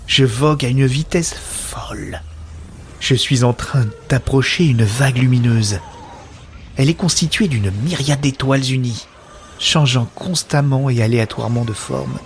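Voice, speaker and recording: A man's voice: mid-range voice, unhurried, slight background noise, lightly acted. A man playing a wonderstruck woman who narrates a science-fiction audio drama in the first person, in earnest short phrases. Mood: awed, exhilarated. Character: wonderstruck woman newly linked to a shared consciousness.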